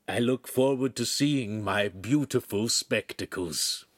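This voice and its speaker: A man's voice: medium pitch, takes it slowly, fairly bright tone, dry recording. A man voicing a gracious, dignified male city ruler in an audiobook, with a formal, stately manner.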